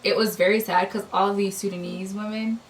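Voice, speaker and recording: A woman's voice: medium pitch, steady tone, a little fast. Chatty young woman, distant mic. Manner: chatty, storytelling with many fillers. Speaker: host. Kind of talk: casual chat. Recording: distant mic with faint noise.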